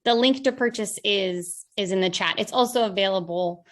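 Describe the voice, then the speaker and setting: A woman's voice: medium pitch, moderate pace. A woman representing a publisher, making an informal announcement on an online call.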